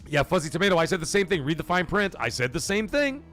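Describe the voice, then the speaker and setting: A man hosting a casual live commentary stream, talking to his chat in an animated, profane way full of rhetorical questions. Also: on the high side, fast talker, soft background music. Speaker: livestream host.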